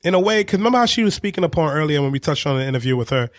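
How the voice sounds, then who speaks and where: A man hosting a radio show in casual, slangy banter, animated and often repeating himself. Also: on the high side, rapid-fire, dry recording, studio quality. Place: radio studio.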